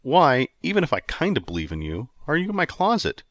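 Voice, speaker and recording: An exasperated young guy with a dry, impatient, sarcastic way of talking, read by a man close to his own voice. The pitch swings a lot, and the sound is close and dry. It is an everyday storybook lead annoyed by his visitors. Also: huge pitch swings, brisk, fairly bright tone, no echo.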